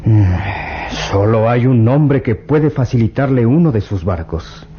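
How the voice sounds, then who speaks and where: An actor playing a courteous, helpful embassy official in a vintage radio drama. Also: male voice, mid-range voice, animated, a little slow, lightly acted. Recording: dull lo-fi old radio recording.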